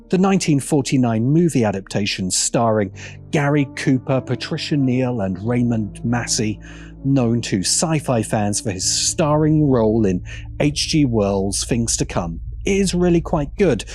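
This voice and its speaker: Male essayist narrator, mid-pitched and very animated in delivery, music underneath. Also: measured pace. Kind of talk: scripted video essay narration.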